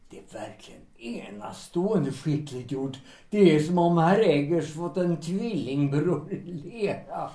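An actor playing a polite café pianist in an audio drama, formal and drily teasing. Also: male voice, a little high, expressive, dark tone, close to an everyday voice. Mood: wry. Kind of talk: Swedish radio drama dialogue. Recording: echoey room.

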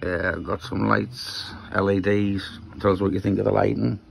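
Croaky Geordie man in a shed. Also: fairly low pitch, little pitch movement, moderate pace, a little hiss or hum. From Newcastle, England. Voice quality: croaky, gravelly voice. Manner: rambling, explains while handling parts. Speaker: maker vlogger. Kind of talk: casual workshop walkthrough. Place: small wooden shed workshop, indoors. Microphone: handheld phone.

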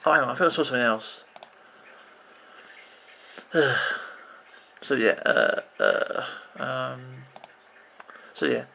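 A man in his thirties with a croaky voice, rambling off the cuff as he vlogs about video games at his computer, full of restarts and "you know"s. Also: medium pitch, wildly swooping pitch, slow pace, long pauses, sharp tone. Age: 30s. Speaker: video game vlogger. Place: indoors at a computer.

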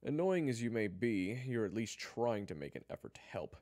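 A man voicing a hot-headed, sarcastic schoolboy with a forceful, confrontational manner in an audiobook-style story. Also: lowish voice, sing-song, moderate pace, dry recording, studio quality, voice pushed up. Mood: irritated, defensive.

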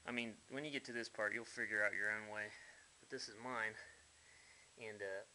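Guy in his garage, lowish bright voice, blunt and folksy, some cussing, faint hiss. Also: fairly even intonation, normal speed, low-quality recording. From United States. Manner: blunt, folksy, mildly profane. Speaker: hobbyist builder. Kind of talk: casual workshop walkthrough. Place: dim garage workshop.

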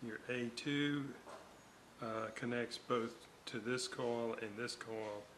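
Casting: unhurried man, lowish voice, bench-repair walkthrough, audible shop hum behind. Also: steady tone, a bit bright. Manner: measured, thinks aloud, corrects himself. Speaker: radio restorer. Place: workbench.

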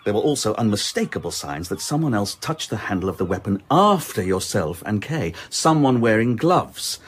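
A shrewd police inspector in a radio drama. The voice is very raspy and swoops widely in pitch. He presses his points in a knowing, insistent way. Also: big pitch swings, moderate pace. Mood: probing, confident. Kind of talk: radio play acting.

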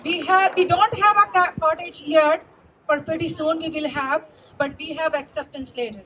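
An older woman with a very high voice speaks halting, English, thanking her community. She talks into a handheld mic on an outdoor park stage. Faint ambient noise sits behind her. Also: fairly even intonation, pushed and bright, poor audio. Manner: hesitant, thankful, with non-native phrasing. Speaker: community organizer. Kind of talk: event welcome speech. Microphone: handheld mic over a PA.

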